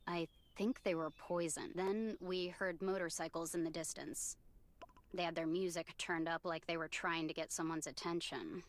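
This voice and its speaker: A woman's voice: fairly low pitch, fairly even intonation, normal speed, lightly acted. An actress playing a blunt, wary survivor townswoman in a video game, speaking matter-of-factly. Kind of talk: dramatic video game dialogue.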